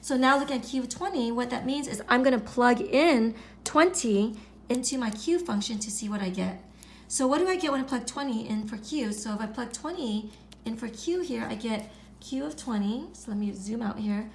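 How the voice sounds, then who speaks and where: A woman with a slightly high voice, raspy from a recent illness, walks through a math lesson. She repeats each step patiently and clears her throat often. A faint hiss sits under the recording. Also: lively intonation, normal speed. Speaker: math teacher.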